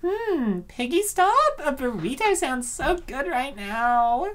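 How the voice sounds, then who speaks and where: A high, animated young woman's voice acted by a woman for a comic dub. She is a defensive, easily hurt girlfriend who swings expressively through her lines. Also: slow pace, exaggerated voice. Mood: weary. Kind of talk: amateur comic dub acting.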